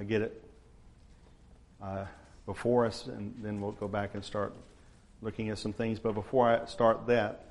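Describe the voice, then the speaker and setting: A man, preaching a sermon to his church in a relaxed, conversational way. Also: fairly low pitch. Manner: conversational, unhurried, frequent uh fillers. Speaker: pastor.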